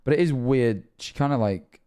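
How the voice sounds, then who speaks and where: Hoarse man rambling on stream. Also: mid-range voice, heavy background noise. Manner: halting, many restarts. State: hoarse, losing his voice. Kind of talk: casual reaction commentary.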